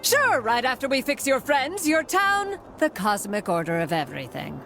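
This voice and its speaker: Game cutscene role: wry female fortune teller, high and very animated, dramatic and put-upon. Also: moderate pace, gravelly, faint music behind, full character voice. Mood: sarcastic, exasperated. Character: exasperated, sarcastic female mystical fortune teller.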